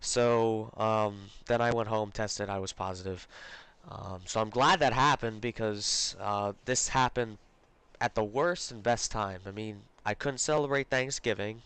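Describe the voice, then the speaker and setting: A man's voice: lowish voice, unhurried, a bit bright, clear, resonant tone, no room sound. A man hosting a live stream, congested from illness, chatting casually with lots of fillers and restarts. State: congested, recovering from a cold. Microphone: close desk mic.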